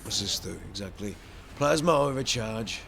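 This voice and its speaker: A man's voice: fairly low pitch, lively intonation, normal speed, creaky, background noise. A man doing casual commentary as he plays a video game, muttering to himself. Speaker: gamer, playthrough host.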